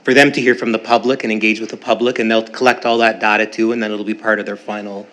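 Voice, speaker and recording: Man chairing a council meeting. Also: fairly low pitch. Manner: relaxed, joking, brisk procedural reading. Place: council chamber.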